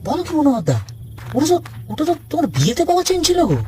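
A woman voicing an excitable, exasperated man in a cartoon, exclaiming and chiding. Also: sits high, fast talker. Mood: shocked, exasperated. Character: excitable, exasperated male relative of the robbed man.

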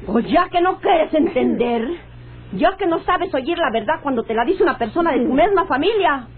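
Old dull radio drama sound, a pleading mexican country woman, high voice, insistent and melodramatic. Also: animated, moderate pace, a little hiss or hum. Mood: bitter, angry. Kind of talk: radionovela acting.

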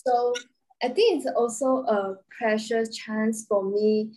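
A woman's voice: a little high, sing-song, slow pace, mellow, rough recording. A young woman with a Malaysian accent answering as a student guest on a video call, earnest with small giggles. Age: young adult.

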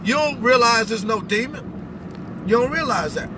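A man's voice: very high voice, expressive, moderate pace. A middle-aged American man giving an informal spiritual talk in an emphatic, instructive way, often checking in with questions. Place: inside a vehicle cab. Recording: very noisy vehicle, road noise. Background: car and outdoor noise.

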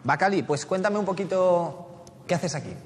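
A man's voice: high-pitched, normal speed, big-room sound, a little hiss or hum. A man interviewing inmates at a casting, asking friendly, probing questions. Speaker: choir director interviewing inmates.

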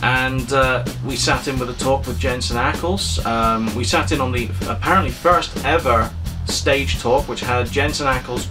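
A man in his thirties from England, telling a rambling, self-deprecating story to camera with a lot of uhs. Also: mid-range voice. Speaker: vlogger. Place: small room full of collectibles, indoors. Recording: distant-sounding voice over guitar music.

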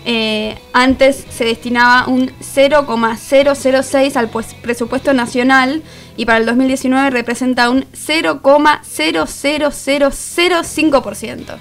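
A woman's voice: sits high, steady tone, normal speed. An Argentine woman giving political commentary as a columnist on a radio show. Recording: music bed under the voice. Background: music.

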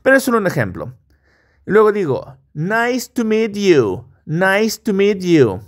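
A man from Peru with a Peruvian Spanish accent, a native Spanish speaker teaching English step by step and modelling phrases deliberately for repetition. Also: sits high, wildly swooping pitch. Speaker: English teacher, online course host. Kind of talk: step-by-step language lesson with repetition drills.

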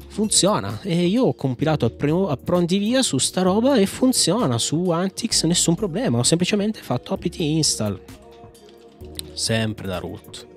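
A man, talking casually and playfully like a video host rambling through a tech tutorial, close to the microphone. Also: fairly high pitch. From Italy. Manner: informal, playful, slangy, rambling. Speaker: host of a Linux video channel. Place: small home room. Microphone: microphone on a boom arm, close.

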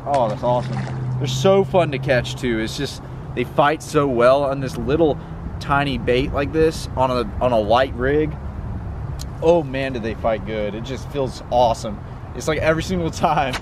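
Young guy vlogging outdoors, noisy background. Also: mid-range voice. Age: young adult. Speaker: fishing video host. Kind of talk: casual instructional fishing vlog. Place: outdoors on a pond bank. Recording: outdoor wind and ambient noise.

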